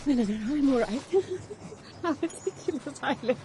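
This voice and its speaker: A woman's voice: high voice, lively intonation, moderate pace, background noise. An actress playing a passionate young woman in love in a radio drama, intimate and emotional as she speaks and narrates her romance. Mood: giddy, passionate. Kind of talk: radio drama acting with first-person narration.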